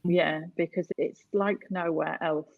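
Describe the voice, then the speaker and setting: A woman's voice: on the low side, steady tone, unhurried, vocal fry, dry recording, narrow, tinny audio. A woman from North West England chatting casually as a guest on a podcast. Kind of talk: casual interview chat.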